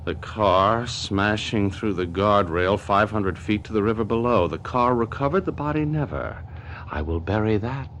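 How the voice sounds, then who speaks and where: An actor playing a greedy, unhinged, scheming husband who has turned murderer, muttering melodramatically in a radio drama monologue. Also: male voice, deep voice, fairly bright tone, slight background noise. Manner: melodramatic, broken muttering, quotes verse. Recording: radio drama with music behind.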